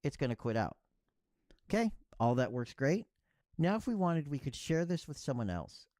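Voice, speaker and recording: A man narrating a software tutorial step by step in a clear, instructional way. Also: a little high, very animated, normal speed, dry recording, studio quality.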